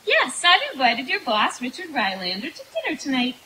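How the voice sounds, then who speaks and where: A woman's voice: sits high, very animated, brisk. A woman playing a naive, gushing young girlfriend in an old-time radio comedy performed live. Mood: excited, oblivious. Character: sweet, dim-witted, adoring young girlfriend, a dumb blonde. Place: outdoor woodland stage. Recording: noisy live outdoor stage recording.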